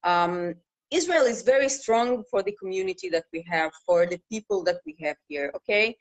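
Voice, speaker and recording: A woman's voice: mid-range voice, expressive. Russian-accented woman telling her story. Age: 30s. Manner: halting non-native English with many fillers and restarts. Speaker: guest, news reporter. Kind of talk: casual interview conversation.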